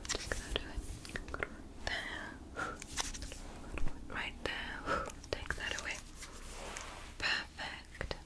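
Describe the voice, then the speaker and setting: A woman doing a whispered ASMR roleplay as a gentle alien medical examiner, speaking in a soothing, deliberate and reassuring manner. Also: poor audio. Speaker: ASMR performer.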